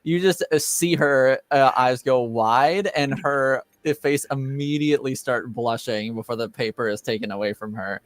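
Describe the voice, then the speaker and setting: Halting man with a mid-range voice. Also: medium pitch. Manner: halting, hesitant. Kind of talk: casual tabletop game narration.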